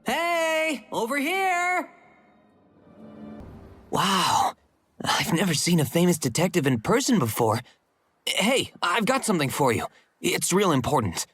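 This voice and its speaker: A cheeky young informant in a mystery game, eager to make a deal. The delivery is very animated in pitch but unhurried, over faint music. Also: female voice, husky, heavily acted. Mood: excited, coaxing. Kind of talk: video game voice acting.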